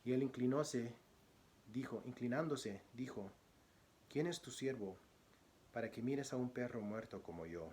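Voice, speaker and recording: A man from Guatemala, a church deacon, introducing himself as a guest preacher and reading scripture, speaking softly with many pauses. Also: medium pitch, slight background noise. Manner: soft-spoken, frequent pauses and fillers; louder, declamatory when reading scripture.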